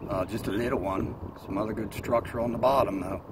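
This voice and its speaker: A man's voice: on the low side, fairly even intonation, brisk. Middle-aged American man, noisy outdoor recording. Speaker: kayak angler reviewing gear. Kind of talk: casual product review vlog. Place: mostly outdoors in a kayak on open water.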